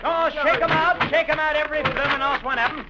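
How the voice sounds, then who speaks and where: An actor playing a rough, bossy Cockney foreman who chivvies and wheedles in a 1950s radio drama. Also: extremely high pitch, steady tone, quick pace, over loud music. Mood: bossy hollering. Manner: hollering, chivvying workers. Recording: noisy, dull vintage radio broadcast.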